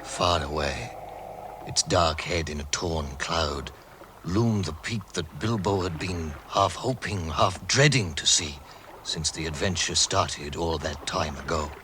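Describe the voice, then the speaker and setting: A man narrating a story in the measured, scripted style of 1960s radio drama. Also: deep voice, sharp tone, a little hiss or hum. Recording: vintage radio recording under prominent music.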